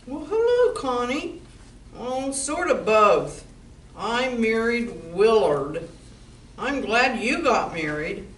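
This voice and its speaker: A woman's voice: on the high side, very animated, slow pace. A woman playing a wry, practical bar owner in an amateur comedy play, speaking in a dry, businesslike way. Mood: welcoming, wry. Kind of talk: amateur stage comedy radio play acting. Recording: echoey room from far off, background noise.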